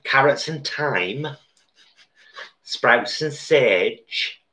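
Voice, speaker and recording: A man's voice: medium pitch, lively intonation, drawn-out words, pushed and bright. An older man co-hosting a live cooking stream from his home kitchen, with dry, teasing banter. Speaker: co-host and cook. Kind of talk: casual live-stream chat.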